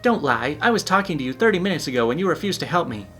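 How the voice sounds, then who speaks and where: A man voicing an entitled middle-aged woman shopper who yells and accuses in a shrill voice. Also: sing-song, quick pace, soft background music. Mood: indignant.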